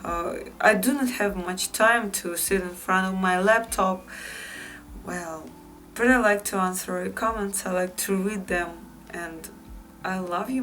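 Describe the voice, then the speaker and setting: Russian lady vlogging in english, slow and chatty with lots of ums, mic a bit far off. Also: mid-range voice, fairly bright tone, slight background noise. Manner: unhurried, repeats phrases, frequent fillers. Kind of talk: casual vlog to viewers.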